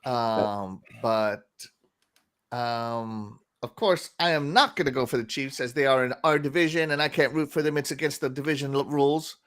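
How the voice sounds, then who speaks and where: A man hosting a casual gaming podcast, upbeat and quick to laugh, with lots of fillers as he reads out the chat. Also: mid-range voice, fairly bright tone. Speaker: podcast host reading chat.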